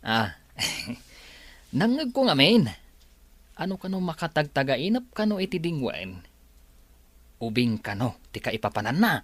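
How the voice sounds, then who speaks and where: A man's voice: on the high side, sing-song, unhurried, long pauses, a bit bright, no room sound, exaggerated voice. A man acting a cheeky, joking employee in an Ilocano radio drama, with a teasing manner.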